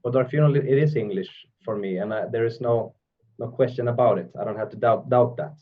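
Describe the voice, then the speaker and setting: A man, a guest talking in a casual interview, halting and thoughtful, often restarting his phrases. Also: medium pitch, narrow, tinny audio. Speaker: guest musician. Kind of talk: casual video call interview.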